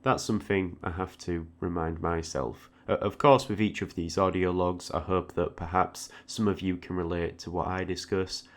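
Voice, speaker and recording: Low-pitched man, reflective and halting. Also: steady tone. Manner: hesitant, often repeats words mid-sentence. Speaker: art tutorial creator, channel host. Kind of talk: informal reflective audio log monologue.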